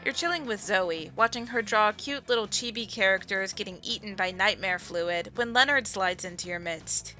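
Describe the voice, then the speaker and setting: A woman's voice: medium pitch, normal speed, hardly any pauses, pushed and bright, soft background music. A woman giving scripted comic narration for a visual novel dub.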